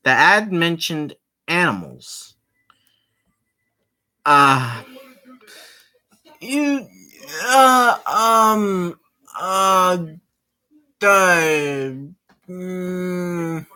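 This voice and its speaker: A game streamer of unclear sex reading a visual novel aloud, halting and explaining who is speaking or thinking. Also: medium pitch, sharp tone. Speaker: gaming streamer. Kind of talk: live gaming commentary, reading visual novel dialogue aloud.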